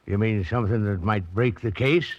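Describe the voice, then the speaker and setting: A man's voice: lowish voice, normal speed, dry recording, close to an everyday voice. A man playing a big, grudging, teasing policeman in a 1940s radio drama. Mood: grudging, mocking. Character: large, grumbling policeman guarding a door. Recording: dull old radio recording.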